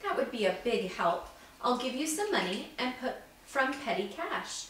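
Echoey, expressive storybook guesthouse keeper voiced by a woman. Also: a little high, normal speed. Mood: puzzled. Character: friendly, puzzled woman running a guesthouse. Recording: echoey room, distant mic, background noise.

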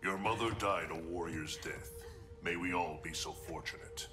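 An actor voicing a solemn immortal thunder god in a video game, speaking as a commanding mentor with formal, grave, measured phrasing. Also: male voice, low voice, music in the background, close to an everyday voice. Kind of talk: video game cutscene acting.

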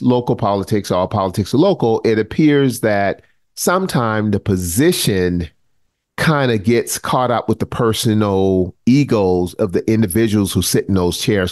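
A man's voice: fairly low pitch, darkish tone, no room sound, clean studio sound. A man in his sixties co-hosting a talk podcast, asking questions with teasing, playful banter. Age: 60s. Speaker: podcast co-host. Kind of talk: interviewing a guest.

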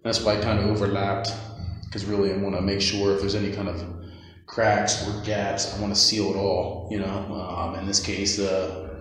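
Low-voiced man in a very echoey room. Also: flat delivery, moderate pace, fairly bright tone. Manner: informal, off-the-cuff, rambling. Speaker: handyman painter presenting his work. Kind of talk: casual handheld work video narration. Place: small empty concrete basement room. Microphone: handheld phone or camera held by speaker. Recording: very echoey bare room, background noise.

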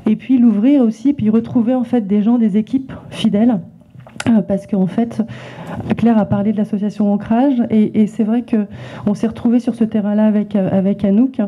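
A woman's voice: mid-range voice, steady tone, normal speed. A woman illustrator presenting her work at a library talk, hesitant with many restarts. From France. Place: library event room with small audience. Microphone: handheld microphone passed around. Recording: tinny phone-like sound.

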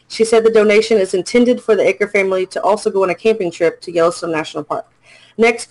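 A man's voice: high-pitched, poor audio. A man co-hosting a casual podcast, reading a news story aloud with small slips and self-corrections. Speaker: podcast co-host.

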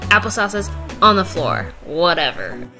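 A woman's voice: fairly low pitch, very animated, a little slow. A young woman narrating her own home cleaning video in a chatty, upbeat voice-over with some self-mocking jokes. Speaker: vlogger. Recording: voice-over with loud background music.

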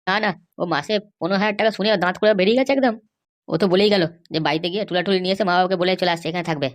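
Woman doing a rapid-fire hot-headed young cartoon son. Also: medium pitch, close to an everyday voice. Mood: eager. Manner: blustering, argumentative. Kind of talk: comic cartoon dialogue.

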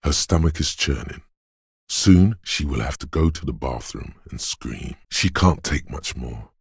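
An actor playing a grave, stern inner voice of endurance in a game, narrating bluntly and deliberately in a husky, gravelly tone. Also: male voice, a bass voice, expressive, no echo, clean studio sound, full character voice. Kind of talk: scripted second-person game narration.

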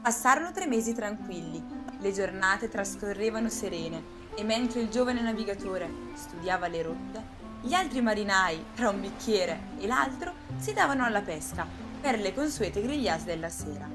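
A woman narrating a scripted children's story in an expressive, dramatic storytelling manner. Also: medium pitch, moderate pace. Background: music.

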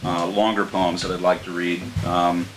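An older American man reading his poetry live at a microphone, in a measured, earnest way. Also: low-pitched, flat delivery. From Martins Ferry, Ohio, United States. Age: older, around 60. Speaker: poet reading his own work. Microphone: microphone on a stand. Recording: clear background hiss.

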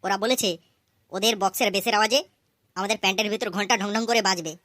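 A woman's voice: medium pitch, steady tone, fast talker, a bit bright, no echo, clean studio sound, natural voice. A woman voicing a loyal, scrappy cartoon sidekick who chatters and teases cheekily. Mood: tattling. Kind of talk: cartoon dialogue.

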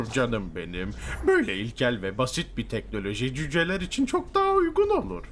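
Pompous, wildly animated cartoon wizard. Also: medium pitch, normal speed, a little hiss or hum. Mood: haughty, amused. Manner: pompous, theatrical, stammers when flustered. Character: haughty, theatrical wizard, new shop hire, easily panicked. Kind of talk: animated comedy dub acting.

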